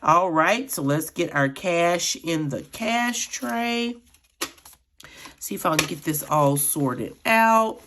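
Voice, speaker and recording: A middle-aged woman vlogging about her budget in a chatty, friendly way, a little raspy from allergies. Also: lowish voice, animated, takes it slowly, a bit bright, a little hiss or hum. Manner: chatty, addresses viewers as friends. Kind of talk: casual chat while counting cash.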